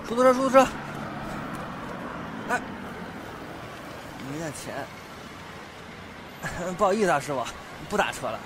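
A man acting an animated, excitable young man. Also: a little high, measured pace, pauses often, noisy background. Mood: hurried. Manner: animated, dramatic, swinging from muttering to shouting. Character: flustered, excitable young man, chatty and blustering. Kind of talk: Chinese audio drama acting.

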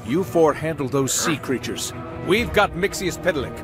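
An earnest, clean-cut male superhero played by an actor for a cartoon game. His voice sits a bit high and moves expressively, with a commanding heroic manner over music. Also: expressive, moderate pace, natural voice. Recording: music behind the voice.

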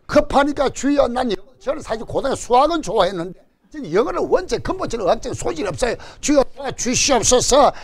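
Older gyeongsang pastor, animated sermon, cracks jokes, asks for amens, high and very lively. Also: male voice, gravelly. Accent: Gyeongsang Korean. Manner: animated preaching with call-and-response and jokes. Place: church stage before a banner. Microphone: stand mic in front.

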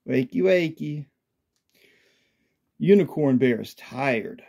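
A parent chatting casually with a small child in a bedroom, gently coaxing with simple questions. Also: medium pitch, lively intonation, slow pace, vocal fry, slightly rough. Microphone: handheld phone behind the camera.

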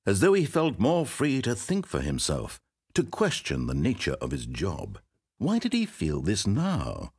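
Casting: sarcastic, pompous video game narrator, low voice with wildly swinging intonation. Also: male voice, breathy edge, no echo, heavily acted. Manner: dry and sarcastic, mock-grand storytelling. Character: sardonic, self-important male game narrator.

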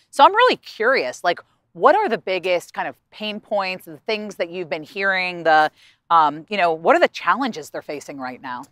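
A woman's voice: mid-range voice, bright, forceful tone, no room sound, studio quality. A woman in her thirties hosting a walking interview in a park, eager and enthusiastic as she asks her questions. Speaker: host. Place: outdoors in a park.

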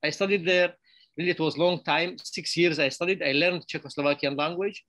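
A middle-aged man from Syria, a film director answering festival questions over a video call, halting and restarting often. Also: fairly high pitch, steady tone, a bit bright, no echo. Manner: halting, many restarts and repeated words. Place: bright room with plants.

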